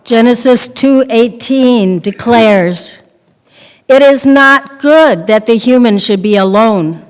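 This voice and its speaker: An older woman reads aloud very slowly in a crisp, resonant voice. It is fairly high and echoes in a hall. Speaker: reader in a readers theater. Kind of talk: scripted public reading.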